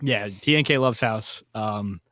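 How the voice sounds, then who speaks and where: A man's voice: lowish voice, unhurried, pushed and bright, no echo. Casual, wisecracking male podcast host, dry close sound. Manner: slangy, wisecracking. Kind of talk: casual joking panel chat.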